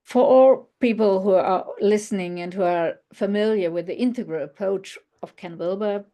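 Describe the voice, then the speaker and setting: A woman with a German accent, a non-native English speaker, giving an online conference presentation in a halting, thoughtful way, with many fillers and restarts. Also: medium pitch, dark tone. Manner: thoughtful, searching for words. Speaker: researcher presenting.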